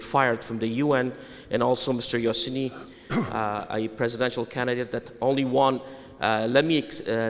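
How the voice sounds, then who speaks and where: Halting diplomat in a reverberant hall. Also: male voice, medium pitch. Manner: halting, many fillers, formal. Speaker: ambassador asking a question. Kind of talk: audience question at a debate. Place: large televised debate hall with audience. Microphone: handheld mic in audience.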